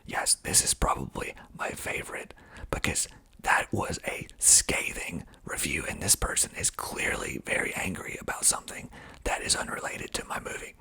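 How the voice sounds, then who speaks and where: Man, very husky whisper, ASMR reading, close mic, slow and deliberate, widely swooping intonation, dry asides. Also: rumbling low, huge pitch swings, sharp tone. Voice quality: breathy, unvoiced whisper. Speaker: filmmaker and ASMR video host. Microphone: close mic with pop filter.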